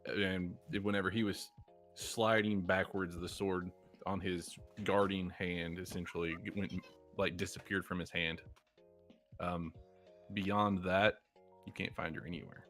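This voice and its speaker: A man with a low voice narrates a tabletop roleplaying session as game master. He sets out scenes in a measured, descriptive way, with music playing faintly behind him. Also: level tone, fairly bright tone.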